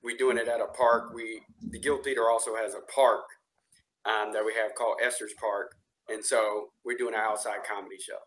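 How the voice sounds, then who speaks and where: A man's voice: fairly low pitch, little pitch movement, normal speed, fairly bright tone, rough recording. A young adult man, a comedian guest, chatting casually in an interview over a video call. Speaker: stand-up comedian and producer guest. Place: small room, video call.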